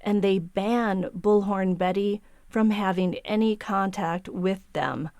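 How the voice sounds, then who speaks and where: Female true-crime host, medium pitch, scripted news read with opinionated asides, very dry close recording. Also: takes it slowly, darkish tone, no echo.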